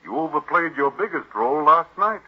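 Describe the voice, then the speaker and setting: Husky, grave radio-drama doctor. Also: male voice, a little high, expressive, soft background music. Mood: accusing. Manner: deliberate, probing, accusatory. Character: shrewd, grave male family doctor exposing a killer. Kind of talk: 1940s radio drama acting. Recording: muffled old radio recording.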